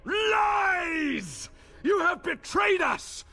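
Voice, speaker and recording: An actor voicing an enraged fanatical male cult leader in a fantasy game, declaiming grand accusations in a hoarse shout. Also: extremely high pitch, sing-song, drawn-out words, full character voice.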